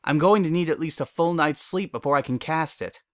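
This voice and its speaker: A man's voice: fairly high pitch, brisk, a bit bright, no echo, studio quality, voice pushed up, natural voice. A man voicing an anxious, bookish female unicorn in a dramatic story reading, weary and earnest. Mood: tired, matter-of-fact. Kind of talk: dramatic fan fiction reading.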